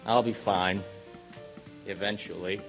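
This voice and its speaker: A man's voice: lowish voice, steady tone, takes it slowly, a bit bright, rough recording. A man playing a proud, stubborn, wry pegasus general in a radio play. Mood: wry, resigned. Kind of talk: radio drama acting. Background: music bed.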